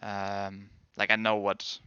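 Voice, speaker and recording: A young Danish man chatting casually with his stream viewers, hedging and correcting himself as he goes. His voice sits a little low but sounds bright and crisp. The dry mic is slightly dull. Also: monotone, slow pace, no echo. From Denmark. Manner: rambling, hedging, self-correcting. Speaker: game streamer and pro player. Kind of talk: casual chat answering viewers.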